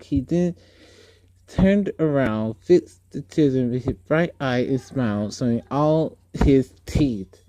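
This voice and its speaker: A man's voice: mid-range voice, mellow, no echo. A man reading a story aloud in an informal way, stumbling over words now and then. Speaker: amateur book reader. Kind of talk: read-aloud storytelling with casual asides.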